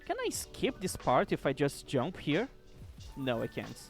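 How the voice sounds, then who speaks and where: Relaxed game streamer, a man with rolled R's and a slightly high voice, unhurried delivery, faint music behind. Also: creaky. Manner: relaxed, chatty, swears casually at the game. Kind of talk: casual late-night gaming stream chat. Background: faint background music.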